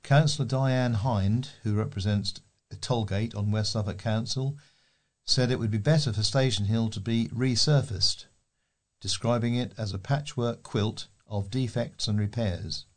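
A man's voice: lowish voice, unhurried. A man with a British accent reading local newspaper articles aloud as a volunteer newsreader.

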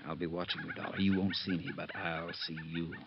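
Low, flat-voiced menacing gunman. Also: a little fast, vocal fry. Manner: curt, commanding, threatening. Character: menacing gunman whispering threats to an investigator. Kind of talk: 1950s radio drama acting. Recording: muffled, noisy 1950s radio recording.

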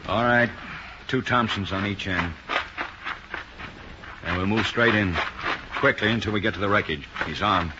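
An American actor playing a terse, authoritative police sergeant in a 1950s radio drama. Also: male voice, fairly low pitch, moderate pace, long pauses, close to an everyday voice. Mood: terse, businesslike. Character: commanding male police sergeant leading a night manhunt. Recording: dull, noisy 1950s radio recording.

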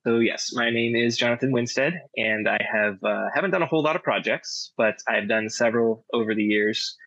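A man's voice: mid-range voice, fairly quick, a bit bright, no echo. A man chatting casually in an interview as a director, modest and understated, on a dull lo-fi recording. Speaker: audio drama director and sound designer. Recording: dry, dull lo-fi sound.

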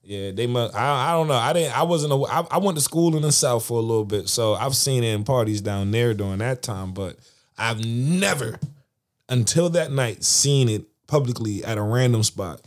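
A man's voice: medium pitch, animated, normal speed. A man co-hosting a podcast, talking bluntly and joking in casual banter. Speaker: podcast co-host.

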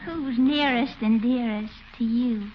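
An actress playing a coy, flirtatious Southern belle neighbor with a Southern American accent in a 1940s radio comedy. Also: female voice, on the high side, measured pace, natural voice. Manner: coy, cooing, affected. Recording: muffled, faintly noisy 1940s radio recording.

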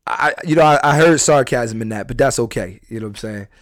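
A man from Philadelphia hosting a casual podcast chat, talking in animated bursts with many restarts and plenty of swearing. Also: medium pitch, brisk, no echo, clean studio sound. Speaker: podcast host.

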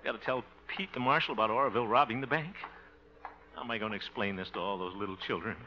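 An actor playing a flustered, soft-hearted middle-aged American man in a 1950s radio comedy, blustery and given to muttering to himself. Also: on the low side, fast talker. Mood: anxious. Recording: muffled, very noisy old radio recording.

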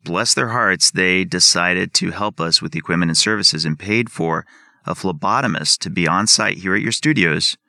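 A man's voice: deep voice, moderate pace, creaky, bright, forceful tone, dry recording, clean studio sound. A man as a podcast guest, methodically laying out the plan of his experiment. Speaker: guest researcher. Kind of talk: explaining an experiment.

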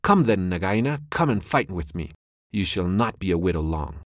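Man playing a fierce little mongoose hero who crows and taunts loudly, raised above his usual pitch. A cocky storybook creature spoiling for a fight. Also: moderate pace, no echo, clean studio sound. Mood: gleeful taunting. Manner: excited, taunting, loud. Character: brave young male mongoose, fierce and cocky.

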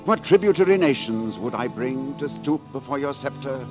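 Male actor as impudent poet-lover, florid declamation, very expressive pitch, 1940s radio drama, music underneath. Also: normal speed, lightly acted. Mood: rapturous. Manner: florid, lyrical, romantic declamation. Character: ardent, impudent beggar poet wooing a noblewoman. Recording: old 1940s radio recording, music behind.

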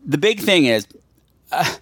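A man's voice: on the high side, very animated, unhurried, a bit bright, slightly rough, no echo. A man hosting a podcast, chatting casually and theatrically to his listeners. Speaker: podcast host.

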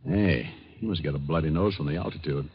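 An American man playing a suave, wisecracking detective in his thirties in a mid-century radio drama, dry and unhurried. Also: rumbling low, breathy edge. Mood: brisk, wry. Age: 30s. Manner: wry, unhurried, quick-witted. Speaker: lead actor and narrator. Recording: muffled, hissy old radio recording.

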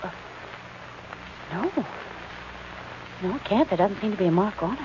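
A woman's voice: mid-range voice, expressive, normal speed, close to an everyday voice. A woman playing a level-headed, caring female companion to a detective, practical and a little hesitant, in 1940s radio style. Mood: uneasy. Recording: noisy, muffled old-time radio recording.

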